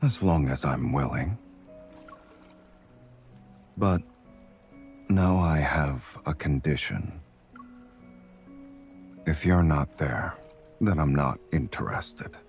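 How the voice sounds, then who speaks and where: An actor playing a confident, teasing and tender male love interest in a romance game, with a husky voice. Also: very deep voice, animated, slow, pauses often, a little mellow, faint music behind, full character voice. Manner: smooth-talking, playfully teasing.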